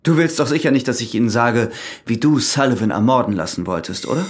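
An actor playing a cool, calculating ex-convict in a radio crime drama, speaking in a controlled, insistent, matter-of-fact way. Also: male voice, medium pitch, lively intonation, quick pace, close to an everyday voice. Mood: pressing, threatening. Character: cool, calculating ex-convict who has killed.

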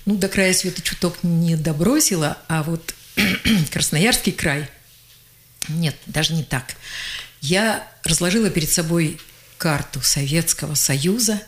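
An older woman, a journalist and geologist, telling lively anecdotes as a guest on a radio interview and acting out the voices she quotes. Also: low-pitched, a little slow.

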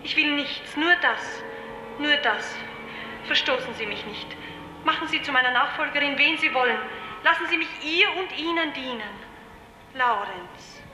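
Adoring, servile heroine, high voice, fervent melodrama, echoing old radio sound over music. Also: female voice, animated, a little slow. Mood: desperate pleading. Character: devoted, submissive woman pleading with her idolized master. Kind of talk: 1950s German radio play acting.

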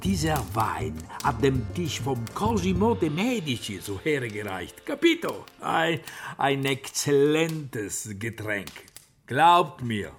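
Flamboyant Italian bookseller, swinging pitch. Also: male voice, big pitch swings, slow pace. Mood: boastful. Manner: theatrical, emphatic, peppered with Italian words. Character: flamboyant, shrewd Italian bookseller, a persuasive travelling trader. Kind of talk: radio drama acting. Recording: studio radio drama with loud music behind.

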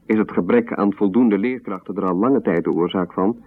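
Radio play letter reader, male actor: deep, formal, measured recital of a protest, narrow old broadcast sound. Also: lightly acted. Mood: formal, firm.

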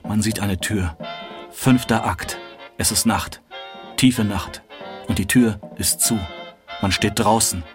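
Low-voiced, bitterly weary homeless soldier. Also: male voice, slow, pauses often. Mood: bitter, bleak. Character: homeless war returnee, hungry, freezing, bitterly weary man. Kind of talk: German stage-style radio drama acting. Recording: music behind the voice.

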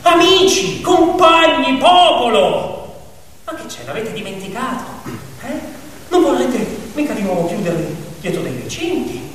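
An actor playing a scornful, cajoling pig orator who harangues the farm animals with rhetorical questions in a theatrical monologue. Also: male voice, very high-pitched, sing-song, a little slow, fairly bright tone, heavily acted. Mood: fiery rallying. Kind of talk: theatrical stage-style radio monologue. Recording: very echoey hall, distant mic, faint hiss.

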